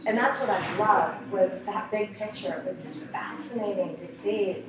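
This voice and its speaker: A woman's voice: mid-range voice, normal speed, noisy background. Enthusiastic woman host in an echoey hall. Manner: enthusiastic, encouraging. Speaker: interviewer, show host. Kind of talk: casual conversational interview. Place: busy conference hall. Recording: very echoey hall, distant mic.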